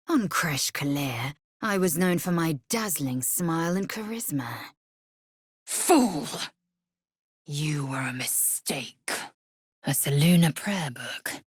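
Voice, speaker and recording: A fierce alien warrior woman from a fantasy game, with a low, very raspy voice. She is imperious and disdainful, and her formal, clipped lines swing widely in pitch. The sound is dry and close. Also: very animated, slow, dry recording, heavily acted. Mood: scornful, furious. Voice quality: husky, raspy. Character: fierce, haughty githyanki warrior woman, blunt and devout. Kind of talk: video game character voice lines.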